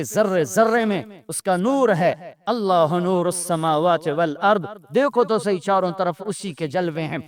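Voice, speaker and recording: A man with a Pakistani accent preaching an Islamic sermon with Arabic recitation, emphatic and repeating phrases for effect. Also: high-pitched. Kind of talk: impassioned Urdu religious sermon with Arabic recitation.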